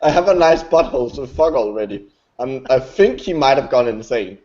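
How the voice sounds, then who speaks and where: A man's voice: medium pitch, expressive, moderate pace, darkish tone. Hesitant joking man, dull lo-fi sound. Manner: hesitant, repeats words, jokey. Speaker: podcast co-host. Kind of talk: casual banter. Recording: dull lo-fi audio.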